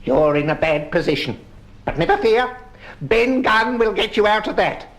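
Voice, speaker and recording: An actor playing an old marooned sailor, half-crazed by solitude, halting and repetitive, in a children's audio drama. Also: male voice, high voice, expressive. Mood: reassuring.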